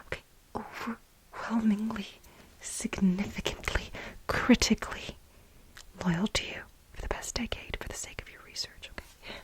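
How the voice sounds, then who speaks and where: A woman acts out an overworked female bioengineer pleading with her boss in an ASMR role play. The voice is fairly high and mostly whispered, full of breath. She sounds frantic and aggrieved, stressing and repeating words in halting, dramatic bursts. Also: steady tone, lightly acted, rough recording. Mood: indignant, insistent.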